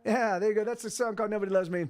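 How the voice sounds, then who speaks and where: High-voiced rambling man in his forties. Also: steady tone, rapid-fire, darkish tone, faint music behind. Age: 40s. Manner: rambling, repeats words and restarts often. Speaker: musician streamer. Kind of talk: casual chat with viewers between songs.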